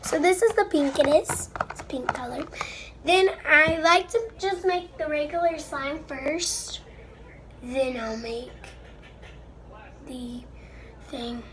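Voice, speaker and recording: A woman's voice: sits high, takes it slowly, pauses often. A young girl with a stuffed-up nose, chatting casually and a bit apologetically to viewers as she crafts at home, rambling as she goes. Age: child. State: stuffed-up and under the weather. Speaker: slime video maker. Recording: noisy amateur recording with clicks.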